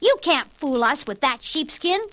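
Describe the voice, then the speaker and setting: A woman's voice: high-pitched, expressive, a little slow, voice pushed up. A woman voicing a carefree, playful little male pig in a sing-song storybook style, on an old vinyl record. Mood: defiant. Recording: 1960s vinyl record with hiss and pops.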